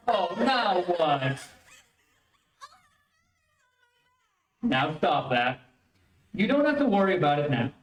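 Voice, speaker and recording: A man playing an exasperated, coaxing father in old-time radio comedy, with a placating, flustered manner. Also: high-pitched. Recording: echoey, distant, faintly noisy stage stream.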